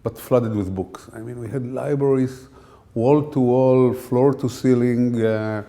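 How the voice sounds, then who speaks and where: A man's voice: mid-range voice, steady tone, unhurried, warm tone. A man in his sixties from Israel, with an Israeli accent, recalling his early life in a hesitant interview, with many fillers and restarts. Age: 60s. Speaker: interviewee, scientist. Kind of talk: reflective interview answers.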